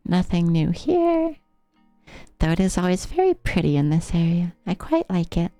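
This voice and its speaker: A woman's voice: lowish voice, big pitch swings, moderate pace, warm tone, no room sound. An American woman with a slight speech impediment and a trained, affected silly voice, casually commentating a game as a live streamer, musing and easily amused. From United States, Rocky Mountain area. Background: video game music.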